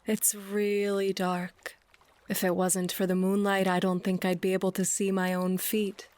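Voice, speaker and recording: An actress playing a brooding, sardonic woman aboard a ship, speaking in a dry, deliberate, musing way in an audio play. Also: medium pitch, completely flat delivery, a little mellow, lightly acted. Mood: wry, quiet. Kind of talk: theatrical audio play acting.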